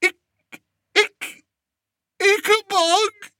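A man voicing a cowardly, pompous king who wails and stammers in a high, shrill voice. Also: fairly even intonation, drawn-out words, bright, forceful tone, no room sound, clean studio sound, full character voice. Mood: shaken. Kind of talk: audiobook character voice.

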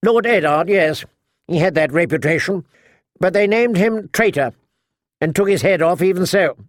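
A man voicing a timid, frightened young noble girl in an audiobook, halting and hushed. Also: sits high, animated, no echo, clean studio sound, pitched above the performer's own voice. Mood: nervous, pleading.

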